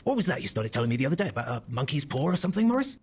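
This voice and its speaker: A man's voice: fairly high pitch, very fast, slightly rough, voice pushed up. A man voicing an eager, curious elderly British father in a dramatic horror story reading. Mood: chatty, curious. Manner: chatty, lively, inquisitive.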